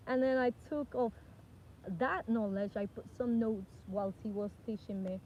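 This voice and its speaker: A singer-songwriter woman originally from the Dominican Republic, chatting casually to camera and rambling with self-corrections. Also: on the high side. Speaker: singer-songwriter and music producer. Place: outdoors with flies around. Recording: dull lo-fi sound with faint background noise.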